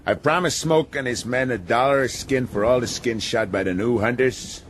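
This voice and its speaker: An actor playing a domineering, philosophizing sea captain in a radio drama, with a commanding, argumentative manner. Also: male voice, medium pitch, normal speed, a little hiss or hum, close to an everyday voice. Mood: shrewd, commanding. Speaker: ship's captain.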